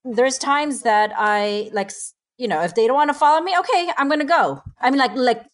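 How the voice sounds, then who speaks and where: A woman speaks in a casual panel discussion with a fairly high voice. Her delivery is informal and halting, as she feels her way through each thought. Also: animated. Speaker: podcast panelist and parent.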